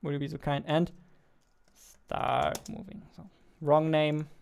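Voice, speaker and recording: A man's voice: fairly high pitch, little pitch movement, takes it slowly, vocal fry, clean tone. A man in his twenties chatting casually and playfully on a livestream as he works, close on a studio mic. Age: 20s. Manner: playful, joking. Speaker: game developer streaming. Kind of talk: casual livestream chat while working. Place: indoors, small home room. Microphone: studio microphone on boom arm, headphones.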